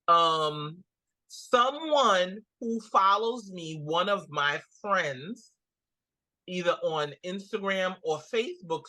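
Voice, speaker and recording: A woman with a Guyanese accent, a comedian guest telling stories in a casual interview and acting out the quotes. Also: deep voice. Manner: animated storyteller, acts out quotes.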